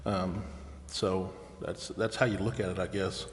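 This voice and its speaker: A man's voice: low-pitched, level tone, a little slow. An American man giving matter-of-fact remarks as a council member in a public meeting. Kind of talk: deliberative public meeting discussion. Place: indoor council chamber. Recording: echoey meeting chamber with noticeable background noise.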